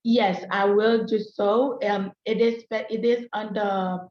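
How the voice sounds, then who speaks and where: A woman whose first language is French, giving an earnest, hesitant, informal pitch as a guest author at an online meeting. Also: fairly even intonation, takes it slowly, a little hiss or hum. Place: online video call. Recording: roomy, slightly dull video-call audio.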